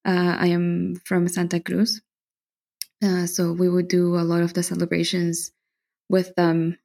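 Gravelly-voiced woman chatting as a guest. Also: lowish voice, measured pace. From Bolivia. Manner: relaxed, chatty, with frequent fillers and soft laughs. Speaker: podcast guest. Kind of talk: casual interview chat.

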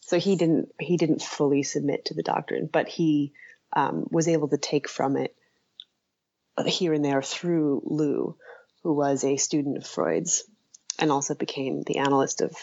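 Woman, low-pitched, gravelly fry, halting thinking-aloud delivery, interview guest. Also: fairly even intonation, a little mellow, lo-fi sound. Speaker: interview guest, writer and author. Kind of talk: casual interview conversation.

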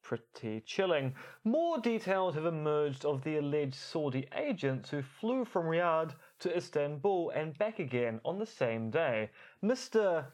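A man's voice: a little high, very animated, unhurried, few pauses, darkish tone. A man co-hosting a podcast, giving commentary in a wry, articulate way with rather formal phrasing. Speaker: podcast co-host.

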